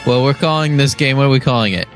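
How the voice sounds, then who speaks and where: A man's voice: medium pitch, fast talker, soft background music. A man hosting a casual podcast game, chatting with friends as he runs the quiz. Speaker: podcast host and quiz master. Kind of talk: casual group podcast chat.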